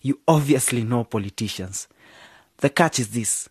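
A man's voice: medium pitch, normal speed, a little mellow, husky, no room sound, studio quality, natural voice. An actor playing an earnest young male journalism student who runs a community radio station. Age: young adult. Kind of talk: radio drama acting.

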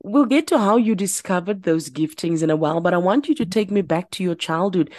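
A woman hosting a radio interview, asking questions in a measured, deliberate way. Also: lowish voice, animated, a little mellow, no room sound, studio quality. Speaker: radio host.

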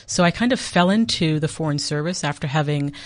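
A woman in her fifties, a diplomat answering interview questions in a measured, friendly way with soft laughs and fillers. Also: low voice. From United States.

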